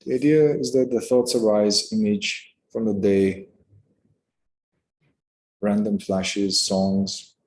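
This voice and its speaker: Male meditation guide, lower-pitched voice, calm and unhurried, unscripted instruction with space between phrases.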